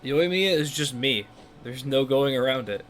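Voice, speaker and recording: A man's voice: mid-range voice, animated, moderate pace, a bit bright. A man giving blunt, joking, casual commentary as host of a gameplay video.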